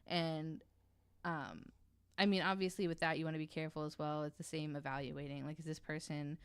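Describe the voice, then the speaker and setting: A woman's voice: sits low, little pitch movement, fairly quick, clear, resonant tone, no room sound. A woman talking casually and informally as a podcast panelist, swearing freely and feeling her way through her phrasing. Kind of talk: casual unscripted discussion.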